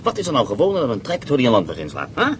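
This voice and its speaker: A man's voice: medium pitch, huge pitch swings, racing, background noise. An actor playing a confident, scheming male prisoner of war on the run who talks in a persuasive, mocking way, in a radio play.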